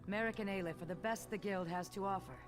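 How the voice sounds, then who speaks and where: An earnest fantasy game guildmaster played by a woman speaks with solemn, measured formality in a mid-range voice. Faint music plays beneath the words. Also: mid-range voice, lightly acted. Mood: businesslike.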